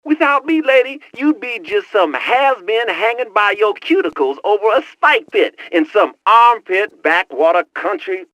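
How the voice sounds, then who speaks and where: High-pitched, animated American tech sidekick. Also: male voice, a little slow, hardly any pauses, no echo, full character voice, rough recording. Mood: jokey, bantering. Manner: slangy, cocky, jokey banter. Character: wisecracking, streetwise, excitable male tech sidekick. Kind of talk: video game cutscene voice acting.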